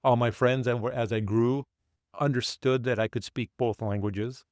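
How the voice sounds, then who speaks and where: A man's voice: medium pitch, fairly even intonation, darkish tone, dry recording. Older man recalling family history, dry. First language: Finnish. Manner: unhurried, with halting restarts and self-corrections. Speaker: guest. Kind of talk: reflective storytelling interview.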